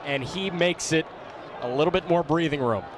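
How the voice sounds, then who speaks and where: A man's voice: fairly high pitch, moderate pace. A man working as a color commentator, giving emphatic, informal, conversational analysis during a live game. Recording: loud crowd noise behind commentary. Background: crowd and arena noise.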